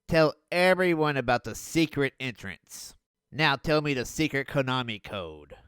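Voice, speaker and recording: A man hosting a video, riffing on cartoon clips with mocking, deadpan sarcasm. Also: medium pitch, unhurried, music in the background. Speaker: video host. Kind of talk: sarcastic riff commentary.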